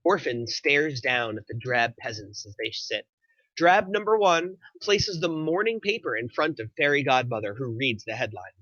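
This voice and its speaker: Bright-voiced man narrating, slightly dull audio. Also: mid-range voice, normal speed, no echo. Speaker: narrator reading stage directions. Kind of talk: scripted screenplay narration.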